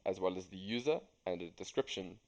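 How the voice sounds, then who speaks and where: A young man reading a scripted software tutorial as a student demonstrator. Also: low voice, fairly quick, noisy background. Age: young adult.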